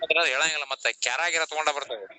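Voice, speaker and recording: A man's voice: fairly high pitch, rapid-fire, crowd noise. A man with a North Karnataka Kannada accent making a prank phone call, speaking in a colloquial, provoking, repetitive way. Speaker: prank caller.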